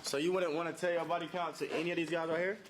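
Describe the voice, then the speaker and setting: A man hosting a street dating game, slangy and brisk, saying "bet" a lot. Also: fairly high pitch, flat delivery. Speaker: street dating show host. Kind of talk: casual street interview. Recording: noisy clip with onlookers.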